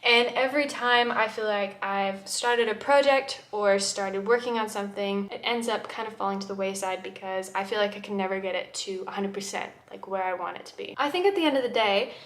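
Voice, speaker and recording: A woman's voice: mid-range voice, brisk, hardly any pauses, a bit bright. A woman in her twenties with a mixed American accent, chatting upbeat to camera in a casual vlog intro at home. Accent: mixed American with shifting traces. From grew up in the UK and the Middle East. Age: 20s. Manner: chatty and upbeat, strings of rhetorical questions. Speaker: vlogger. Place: home room with plants. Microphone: camera in front of her.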